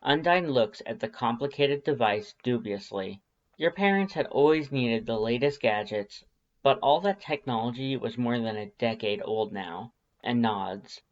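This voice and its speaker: An audiobook narrator reading second-person fan fiction in an expressive storytelling style. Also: mid-range voice, a little slow, highs cut off.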